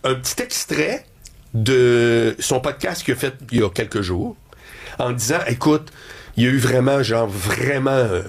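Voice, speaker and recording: A man with a Québécois accent hosting an interview, telling a story in a lively, conversational way. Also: mid-range voice, a little slow. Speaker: interview host. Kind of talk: casual interview chat.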